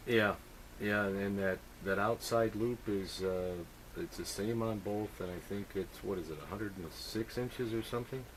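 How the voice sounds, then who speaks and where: A man's voice: lowish voice, moderate pace, rough recording. A man chatting casually and technically as an amateur radio operator on two-way radio, thinking aloud as he goes.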